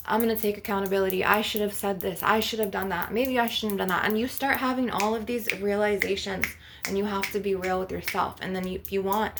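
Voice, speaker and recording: A woman with a creaky voice speaking as a spiritual healer in an ASMR session, earnest and instructive in a conversational way. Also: medium pitch, steady tone, quick pace, background noise. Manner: earnest, instructive, often adding "you know" and "okay". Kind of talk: spiritual ASMR healing session with rambling talk.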